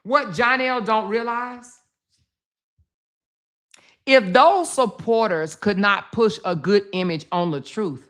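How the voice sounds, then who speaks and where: A woman hosting her own commentary channel, talking bluntly and profanely, hammering points home by repeating them. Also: medium pitch. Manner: blunt, profane, emphatic with repetition. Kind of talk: casual social media commentary.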